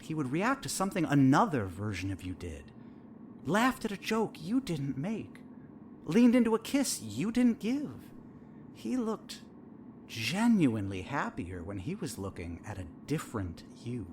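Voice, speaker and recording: A probing therapist in an audio drama, acted by a man, with a wildly animated, swooping delivery. He is smug and insinuating, needling with leading questions as if he knows everything. Faint noise sits behind the voice. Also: mid-range voice. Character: probing, needling male therapist, smug and eerily all-knowing. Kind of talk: audio fiction dialogue.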